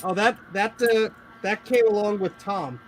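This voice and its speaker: A man's voice: high voice, a little slow. A man chatting casually, dropping wry, playful quips. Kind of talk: casual chat. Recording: faint noise, room ambience.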